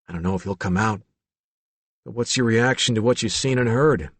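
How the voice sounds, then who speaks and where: A man's voice: on the low side, fairly quick, dry recording, natural voice. A man voicing a sober, thoughtful, doubtful professional man in a storybook reading. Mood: uncertain, sober.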